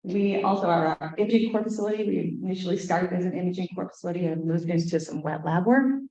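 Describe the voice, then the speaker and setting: A woman directing a lab core facility, giving a conference talk in a loud voice with frequent fillers. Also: fairly low pitch, quick pace, dull audio. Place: conference hall.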